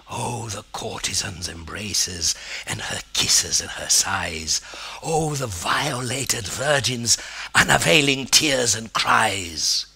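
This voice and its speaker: A man reciting poetry in a theatrical, declamatory manner, with weighty pauses and a husky, gravelly, breathy voice. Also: on the low side, bright, forceful tone. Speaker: poetry reader.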